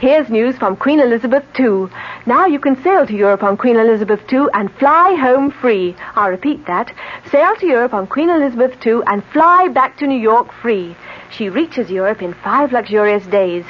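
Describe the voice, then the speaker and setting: A woman's voice: on the high side, lively intonation, moderate pace. A woman reading a scripted 1970s radio advertisement as an announcer. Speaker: commercial announcer. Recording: dull old radio broadcast.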